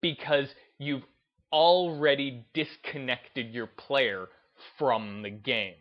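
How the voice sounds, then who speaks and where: Man giving halting commentary, slightly dull sound. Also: mid-range voice, fairly even intonation. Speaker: gaming video commentator. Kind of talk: off-the-cuff opinion commentary.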